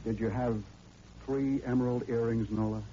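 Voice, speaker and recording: An actor playing a secretive, calculating, quietly accusing man in an old-time radio drama, speaking in a measured, insinuating way. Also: medium pitch, fairly even intonation, lightly acted. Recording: dull, noisy old radio recording.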